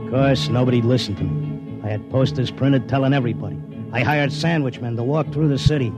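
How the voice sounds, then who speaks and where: An actor playing a brash, slangy racetrack tipster who also narrates, in a 1950s radio drama. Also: male voice, medium pitch, fairly quick, loud music behind the voice, natural voice. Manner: streetwise and slangy. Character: brash fast-talking racetrack tipster, middle-aged man. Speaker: narrator and lead.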